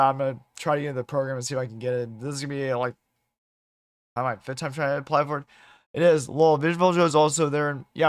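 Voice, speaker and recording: A man with a slightly hoarse voice chatting casually as a livestream host, in a halting way with repeated and restarted phrases. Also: medium pitch, dry recording, studio quality.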